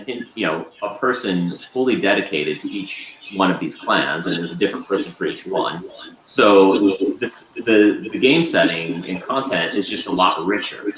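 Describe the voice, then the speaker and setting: A man with a very low, deep voice chats easily on a video call, drifting through thoughts with plenty of ums and uhs. His voice rings in an echoey room over a faint hiss. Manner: relaxed, rambling with many fillers. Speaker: guest presenter. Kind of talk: casual video call chat.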